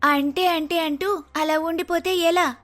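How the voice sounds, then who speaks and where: A woman's voice: extremely high pitch, steady tone, unhurried, a bit bright. A woman voicing a bold, scheming undercover officer in a dramatic story, commanding and confident. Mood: taunting, triumphant. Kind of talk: dramatic moral-story voice acting.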